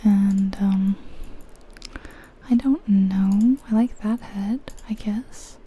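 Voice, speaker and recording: A woman whispering softly and breathily in an ASMR style, gently musing aloud as she comments on a video game. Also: medium pitch, extremely slow, a little hiss or hum.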